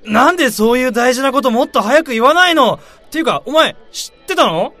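A man's voice: very high voice, animated, fairly quick, sharp tone, no echo, faint music behind, exaggerated voice. A man acting in a radio drama as a nosy, easily miffed old friend, talking in casual, colloquial banter. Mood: incredulous, miffed.

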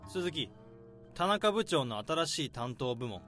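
An actor playing an overworked male office worker laying out a case, in a radio drama, speaking calmly and methodically. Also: fairly high pitch, slow pace, soft background music, full character voice. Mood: explaining. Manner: calm, methodical explaining.

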